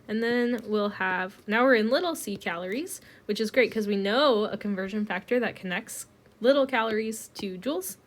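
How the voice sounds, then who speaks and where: Woman teacher, mid pitch, recovering from a cold, casual step-by-step lecture. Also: normal speed. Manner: relaxed, explains step by step with many ums. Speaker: chemistry teacher. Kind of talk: recorded lecture over slides.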